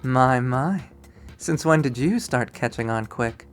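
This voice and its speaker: A man voicing a composed, formal college woman with dry wit and measured, precise speech in a visual novel. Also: mid-range voice, expressive, soft background music. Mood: wry.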